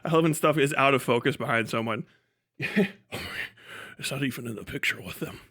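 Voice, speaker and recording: A man livestreaming in casual chat, mocking and animated, swearing freely as he rants. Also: mid-range voice, fairly quick. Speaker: live streamer.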